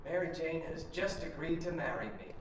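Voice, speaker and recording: A man's voice: fairly high pitch, fairly even intonation, moderate pace, slight background noise, close to an everyday voice. An actor playing a proud young man in a video game, formally announcing his engagement to a crowd. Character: proud young male fiance making a toast. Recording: echoey hall from far off.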